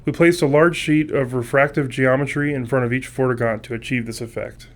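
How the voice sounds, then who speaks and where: A man's voice: mid-range voice, steady tone, a little fast. Male, middle register, scripted technical developer commentary. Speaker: game developer.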